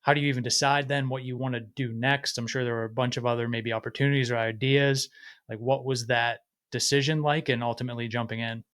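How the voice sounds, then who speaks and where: A man hosting a podcast, reading a scripted intro then asking relaxed, friendly questions. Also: medium pitch, fast talker. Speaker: podcast host.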